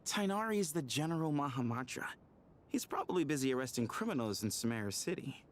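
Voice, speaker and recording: A man's voice: medium pitch, very animated, fast, slight background noise, natural voice. A man voicing a breezy, teasing young male ranger mentor in a video game, with a casual, playful manner. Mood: amused.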